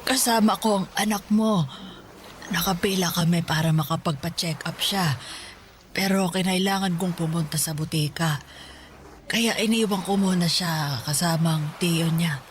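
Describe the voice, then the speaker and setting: A woman's voice: on the low side, moderate pace, slight background noise, natural voice. A woman playing a stern, reproachful old grandmother in a radio drama, questioning and scolding. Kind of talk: Tagalog radio drama acting.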